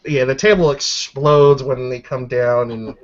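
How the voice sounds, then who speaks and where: A man's voice: medium pitch, fairly even intonation, measured pace. Man running a tabletop game, muffled audio. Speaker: game master. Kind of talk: improvised tabletop game narration. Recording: dull lo-fi audio.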